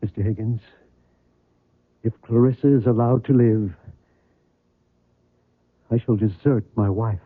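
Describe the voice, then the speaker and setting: Male tormented writer, earnest and pleading, slightly husky, vintage muffled radio drama sound. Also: lowish voice, steady tone. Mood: anguished, obsessed. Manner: intense, halting, pleading delivery. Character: anguished struggling male novelist, earnest and obsessed. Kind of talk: old-time radio drama acting.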